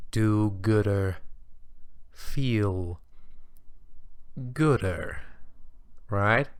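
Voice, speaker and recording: A man performs a smug, ominous narrator in a spoof of old radio horror. He speaks slowly in a fairly low voice, savoring his knowing asides. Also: clean tone. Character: ominous, smug male host of an eerie anthology.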